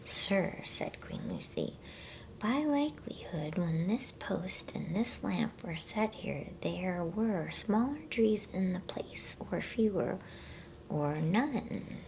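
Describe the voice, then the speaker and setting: Woman whispering a bedtime story asmr style, soft n breathy, really slow, bit of hiss behind her. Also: lowish voice, very animated, poor audio. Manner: hushed, unhurried, with playful asides. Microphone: close microphone.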